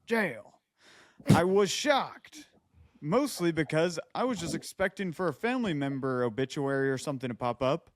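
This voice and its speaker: A man with a fairly high voice co-hosts a chatty comedy podcast. He reads things aloud and keeps stepping out of the text with quips and side remarks, in a very dry, echo-free sound. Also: lively intonation, moderate pace. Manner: interrupts his own reading with quips and asides. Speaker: podcast co-host. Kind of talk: casual comedic banter and reading listener letters aloud.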